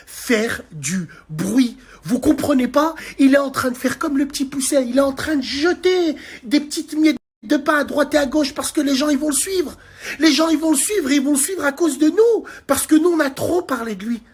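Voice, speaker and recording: Husky, heated man ranting in the dark. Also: very high voice. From France. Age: 40s. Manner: heated, crude and insulting, addresses viewers directly. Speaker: social media commentator. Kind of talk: angry political rant to camera. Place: dark bedroom at night. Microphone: phone held at arm's length.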